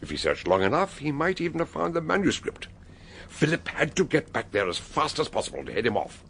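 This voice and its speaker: An actor playing a shrewd older British detective in a radio drama, with an expansive, knowing, theatrical manner. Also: male voice, on the high side, huge pitch swings, a little fast, gravelly, fairly bright tone, slight background noise, full character voice.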